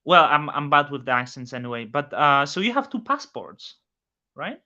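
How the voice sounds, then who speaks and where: A man with a Polish accent hosting a casual chat, speaking haltingly with frequent restarts. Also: fairly high pitch, very animated, pushed and bright. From Poland. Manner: halting, many restarts, non-native phrasing. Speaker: host. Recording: dull lo-fi audio.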